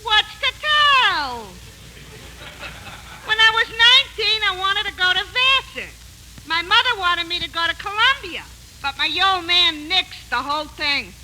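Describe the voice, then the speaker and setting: Brassy, slow-drawling young fan club girl. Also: very high voice, heavily acted. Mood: deadpan. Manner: drawling, deadpan, flippant. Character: brassy, deadpan twenty-year-old female fan club president. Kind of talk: 1940s radio comedy acting. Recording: bright, lightly noisy 1940s radio recording.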